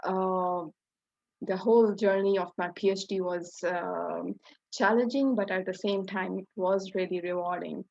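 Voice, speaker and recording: A woman's voice: medium pitch, fairly even intonation, a little slow, vocal fry, darkish tone. A woman in her thirties with a Pakistani accent, introducing herself as a scientist on a webinar panel over a video call. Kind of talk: prepared self-introduction with hesitations. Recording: roomy, dull video-call audio.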